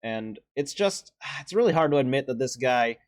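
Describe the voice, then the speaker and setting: A young man with a deeper voice giving casual, earnest and emotional commentary as he ranks favorite animated shorts, with sighs and restarts. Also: moderate pace, no room sound, studio quality. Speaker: video creator ranking animated shorts.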